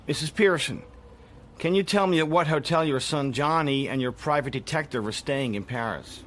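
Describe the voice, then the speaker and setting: A man's voice: fairly high pitch, moderate pace, slight background noise, lightly acted. An actor with an American accent playing a controlled, determined, secretive man who also narrates a radio drama. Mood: cool, insistent. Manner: controlled, insistent. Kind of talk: radio drama acting with first-person narration.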